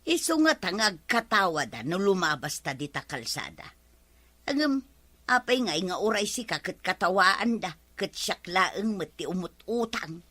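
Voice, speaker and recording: A woman's voice: fairly low pitch, very animated, normal speed, sharp tone, dry recording, heavily acted. A woman playing a grumbling older village woman in an Ilocano comedy drama. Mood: indignant.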